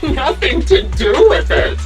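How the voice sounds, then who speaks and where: A woman's voice: sits high, wildly swooping pitch, slow pace, recorded from a distance, faint music behind, heavily acted. A woman voicing a sadistic female sniper villain in a video game, taunting theatrically with sing-song mock politeness. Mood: condescending, cruel. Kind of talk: anime-style video game voice acting.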